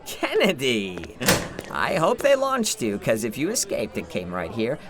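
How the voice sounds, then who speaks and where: An actor playing a sardonic, gruffly familiar bartender in a noir audio drama. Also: male voice, medium pitch, wildly swooping pitch, measured pace, very noisy. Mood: wry. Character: sardonic male dive-bar keeper, gruffly familiar.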